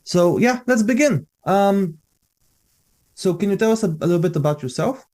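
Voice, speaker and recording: Male interviewer. Warm, mellow tone, conversational podcast hosting. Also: high voice, lively intonation, normal speed.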